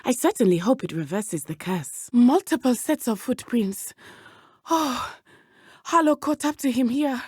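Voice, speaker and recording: Brave teen witch girl from a video game, lively pitch, careful formal wording, dry close sound. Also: husky, no room sound. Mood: serious, determined. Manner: precise, measured, formal diction. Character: earnest, brave teenage schoolgirl witch from Uganda. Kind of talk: video game character dialogue.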